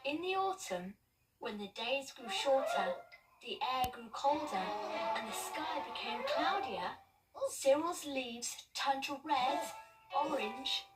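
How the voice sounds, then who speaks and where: A woman reading children's stories in an expressive storytelling style. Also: high voice, slow. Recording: distant mic, music underneath. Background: music.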